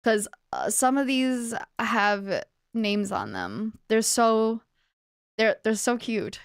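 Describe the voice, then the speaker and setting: Unhurried, bubbly woman livestreamer. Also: on the high side, lively intonation, creaky, smooth, no echo, clean studio sound. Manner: bubbly, chatty, greets viewers by name. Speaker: livestreamer, virtual streamer host. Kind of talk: casual livestream chat with viewers.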